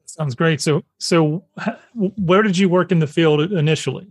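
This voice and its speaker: A man's voice: fairly high pitch, moderate pace, dry recording. A man hosting a podcast, reading a friendly promo and then interviewing a guest in an inviting manner. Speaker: podcast host.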